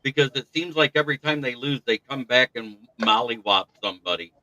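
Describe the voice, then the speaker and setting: Bright, punchy male sports co-host. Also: medium pitch, fairly quick, low-quality recording. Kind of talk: casual sports talk.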